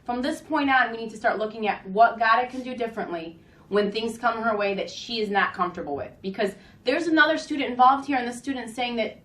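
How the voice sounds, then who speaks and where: A woman acting as a patient, firm school counselor talks in a measured, coaxing manner. It is an amateur dramatization. The voice sits away from the microphone in an echoey room. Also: fairly high pitch, a bit bright, close to an everyday voice. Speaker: school staff member counseling a student. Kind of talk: amateur acted role-play dramatization. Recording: roomy echo, distant microphone.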